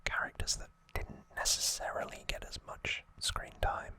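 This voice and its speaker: A person whispering softly throughout in an unhurried, informal, explanatory ASMR recap style. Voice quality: soft unvoiced whisper. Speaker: ASMR creator and host.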